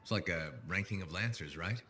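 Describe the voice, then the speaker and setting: Low-voiced, wry hero. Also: male voice, fairly quick, pushed and bright. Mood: sceptical. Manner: blunt, dry. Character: sceptical, wry, confident mercenary pilot hero. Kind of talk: video game dialogue.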